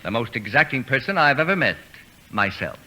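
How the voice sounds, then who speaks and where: Imperious male genius, pompous, deadpan, swooping intonation, formal diction, faintly noisy vintage radio recording. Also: mid-range voice, fairly quick. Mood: haughty, deadpan. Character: haughty self-declared genius, an imperious male novelist. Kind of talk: 1940s radio comedy acting.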